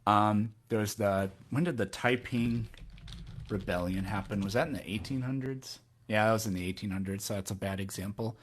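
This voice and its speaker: A man in his thirties hosting a call-in podcast, exasperated and sarcastic as he argues with a caller. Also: lowish voice. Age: 30s. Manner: exasperated, sarcastic, lists examples rapidly. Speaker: podcast host.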